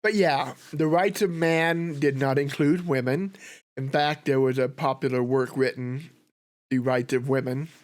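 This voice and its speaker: A man's voice: medium pitch, measured pace. Guy with a cold and sore throat, chatty history host, rambling along. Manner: relaxed, rambling, thinks aloud with fillers. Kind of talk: casual commentary.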